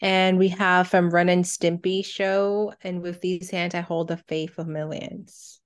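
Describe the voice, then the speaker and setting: A woman's voice: fairly low pitch, level tone, a little slow. A woman co-hosting a podcast, chatting casually and reacting her way through a countdown list. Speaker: podcast co-host.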